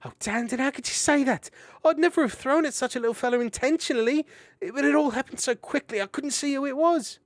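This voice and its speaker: A man voicing a brave, hot-tempered young female mouse warrior who speaks in a brisk, commanding way. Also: on the high side, big pitch swings, fairly bright tone, no room sound, pitched above the performer's own voice. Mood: distressed.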